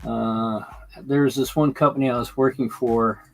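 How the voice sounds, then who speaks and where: A man talks unhurriedly through a hobby live stream, his voice rough with gravel. He drifts between stories and the work in his hands, full of pauses and restarts. Soft music plays faintly behind him. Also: medium pitch, fairly even intonation, rough recording. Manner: halting, many fillers and restarts, chats while working. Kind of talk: casual chat while building a model layout.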